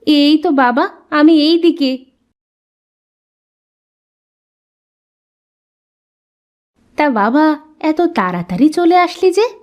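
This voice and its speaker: A woman's voice: high-pitched, animated, unhurried, a little mellow, pitched above the performer's own voice. A woman voicing a worried, loving village mother in a cartoon, tender and anxious in manner.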